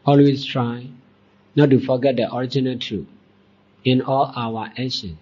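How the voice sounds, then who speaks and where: A man teaching as a Buddhist monk, leading a guided meditation in deliberate short phrases with long pauses. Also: mid-range voice, steady tone, a little mellow, slightly rough. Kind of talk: guided meditation dhamma talk. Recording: dull, muffled lo-fi recording.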